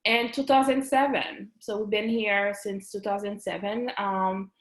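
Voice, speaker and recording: A woman in her thirties from Haiti with a Haitian accent. She talks as a guest in a casual interview, chatty and full of fillers, restarts and quick laughs. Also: medium pitch, steady tone. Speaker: guest, registered nurse and business owner. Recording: roomy echo.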